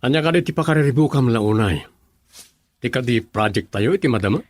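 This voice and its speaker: A man's voice: mid-range voice, expressive, brisk, darkish tone, no echo, lightly acted. A man acting a gossipy but levelheaded buddy who gives advice in an Ilocano radio drama. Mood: curious.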